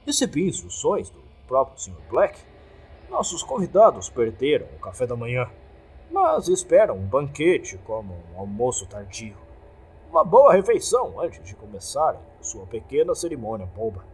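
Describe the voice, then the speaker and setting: A man's voice: medium pitch, wildly swooping pitch, normal speed, husky, exaggerated voice. A Brazilian man voicing a sly, touchy male bartender with a casual, mischievous manner in a horror story. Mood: smug. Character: sly, sensitive male head barman.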